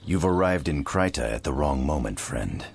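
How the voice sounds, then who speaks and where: An actor playing a gruff, solemn soldier of a holy order in a video game cutscene, speaking gravely and formally. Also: male voice, rumbling low, expressive, normal speed, darkish tone, a little hiss or hum, heavily acted.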